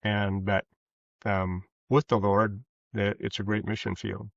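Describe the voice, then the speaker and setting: Male podcast guest, deeper register, earnest and halting, reflective delivery, dull roomy recording. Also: little pitch movement, vocal fry. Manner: halting, earnest, rephrases as he goes. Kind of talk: reflective interview conversation. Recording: muffled, roomy, echoey sound.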